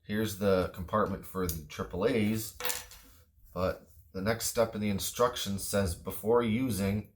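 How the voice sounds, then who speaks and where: A man's voice: on the low side, fairly even intonation, a little slow, slight background noise. A man talking through a product in a casual, unscripted review, matter-of-fact and understated.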